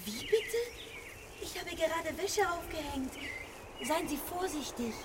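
An actress playing a young fairy-tale princess keeping house, wary, fussy and stubborn, in a prim, guarded manner for a children's radio play. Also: female voice, very high-pitched, lively intonation, a little slow, noisy background. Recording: slightly distant, faint outdoor-sounding ambience.

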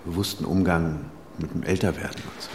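An actor playing an anxious, witty editor in his fifties in a radio drama, fussy and halting as he talks. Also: low voice, fairly even intonation, a little mellow, a little hiss or hum, close to an everyday voice. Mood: awkward charm. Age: 50s. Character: anxious, witty 59-year-old editor fretting about ageing. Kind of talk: naturalistic German radio drama.

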